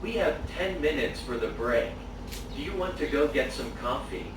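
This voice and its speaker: A man's voice: medium pitch, moderate pace, close to an everyday voice. A man playing a friendly student, Peter. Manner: even, textbook phrasing. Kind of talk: scripted English dialogue video. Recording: roomy, distant, noisy playback.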